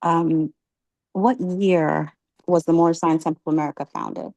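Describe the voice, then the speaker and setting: A woman leads a religious class, asking catechism questions and praising the answers. Her voice sits low and sounds dry and close, and she pauses often with ums as she encourages her students. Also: fairly even intonation, a little mellow, dry recording, low-quality recording. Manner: encouraging, halting with many ums.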